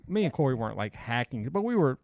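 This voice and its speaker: A man's voice: medium pitch, very animated, quick pace, creaky, a little mellow, no room sound. A man in his forties co-hosting a podcast with casual, slangy banter and rambling storytelling. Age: 40s. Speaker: podcast co-host.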